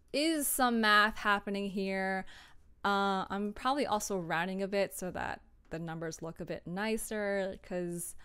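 A woman's voice: medium pitch, fairly bright tone, clean tone. A young adult woman chatting casually and informally as she explains her work process, with a giggly manner. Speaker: streamer and audio drama producer.

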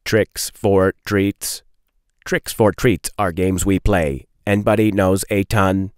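A man in his thirties reading a children's storybook aloud with playful, expressive emphasis. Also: deep voice, slow, dry recording, studio quality. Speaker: read-aloud host.

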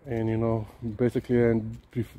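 A man talking haltingly on a dog walk outdoors, filling pauses with "you know" and "um". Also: mid-range voice, completely flat delivery, dark tone. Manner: halting, many fillers and restarts. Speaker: dog-walking vlogger. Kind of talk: casual walk-and-talk monologue. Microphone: camera held low, walking. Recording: outdoor noise behind the voice.

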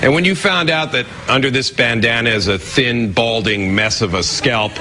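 An actor playing a sincere, mock-tender rocker making a romantic speech in a parody dating show. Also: male voice, mid-range voice, normal speed, music in the background, close to an everyday voice. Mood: earnest. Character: sincere balding rocker wooing a woman by candlelight.

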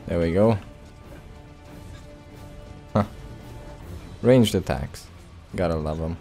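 A man's voice: sits low, slow pace, mellow, faint music behind. A man giving casual, laid-back gameplay commentary in short phrases as he plays. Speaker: let's play host.

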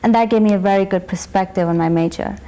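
A woman's voice: mid-range voice, fast talker. A young woman giving an interview testimonial as a student. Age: young adult. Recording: clear traffic and ambient noise.

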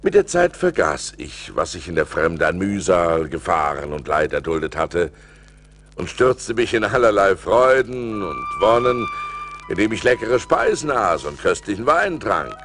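An actor playing a rich seafaring merchant who recounts his adventures as an expressive storyteller in a radio play. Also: low-pitched, unhurried, heavily acted. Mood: contented. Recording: vinyl record transfer with music behind.

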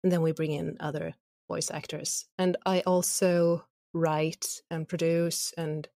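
A woman's voice: deep voice, little pitch movement, a little slow, creaky, mellow, no echo, studio quality. A woman chatting casually and informally as an interview guest. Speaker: guest, audio drama writer and voice actor.

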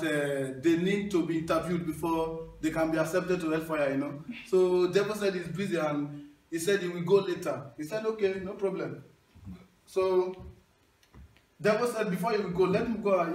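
A young Nigerian man with a Nigerian accent performing stand-up, telling stories loudly and breaking into singing and shouting. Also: high-pitched. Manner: loud animated storyteller, sings and shouts punchlines. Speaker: stand-up comedian. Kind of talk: stand-up storytelling. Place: small indoor hall with wood panelling. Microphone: handheld mic, filmed from across the room. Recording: echoey room from far off.

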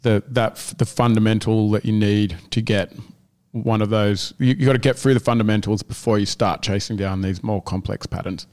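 A man's voice: on the low side, fairly even intonation, normal speed, darkish tone. A man co-hosting a casual gym podcast, rambling and often restarting as he searches for words. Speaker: gym owner and podcast co-host.

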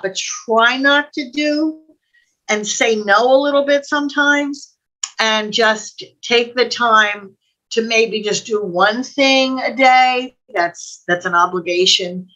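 A woman's voice: medium pitch, sharp tone, poor audio. An older American woman chatting casually and in a rambling, conversational way as a co-host on a video call. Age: older, 60s or above. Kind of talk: casual chat. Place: indoor room at home, video call. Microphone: computer mic on video call.

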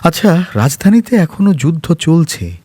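A man's voice: fairly high pitch, big pitch swings, a little slow, dark tone, slightly rough, voice pushed up, full character voice. Man playing a bossy, grieving master. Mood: questioning. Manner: commanding, muttering to himself at times. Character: grieving dutiful master, bossy with his servant.

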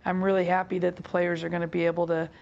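A middle-aged woman basketball coach with a hoarse, raspy voice, answering interview questions over a video call with many halting fillers. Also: fairly low pitch, completely flat delivery, a little mellow, muffled recording. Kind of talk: remote press interview. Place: office with team logo backdrop.

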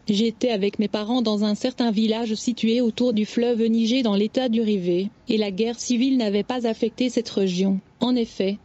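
A woman reading a first-person testimony aloud as steady, measured scripted narration. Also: on the high side. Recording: dull lo-fi audio.

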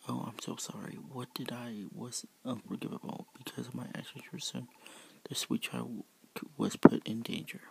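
A man's voice: mid-range voice, fairly even intonation, normal speed, gravelly, husky, dry recording, poor audio. A man whispering the part of a remorseful, anxious female fox creature in a read-aloud story. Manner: whispered, gentle and apologetic.

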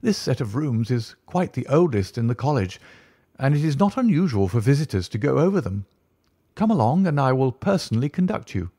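A man's voice: mid-range voice, very animated, brisk, warm tone, slightly rough, no echo, studio quality, pitched above the performer's own voice. A man voicing an agitated, fussy male college tutor in an audiobook, pleading and anxious. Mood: obliging.

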